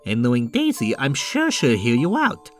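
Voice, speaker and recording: A man playing a reassuring, easygoing older-brother plumber in an animated comic dub, talking casually with a teasing streak. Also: mid-range voice, normal speed, soft background music. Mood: encouraging.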